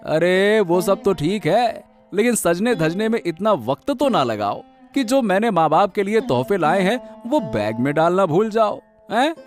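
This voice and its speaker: A man acts out a nagging young village husband for a cartoon. His voice is high and very animated, with faint music underneath. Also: a little slow, few pauses. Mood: impatient. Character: impatient, nagging young husband and son-in-law. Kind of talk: Hindi animated moral story acting.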